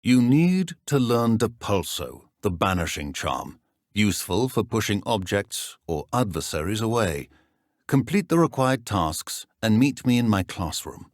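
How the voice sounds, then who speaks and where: An actor playing a stern, dry-witted middle-aged British potions professor in a video game, speaking in a measured, exacting, deadpan manner. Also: male voice, on the low side, dry recording. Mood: dry, composed. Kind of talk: scripted game dialogue.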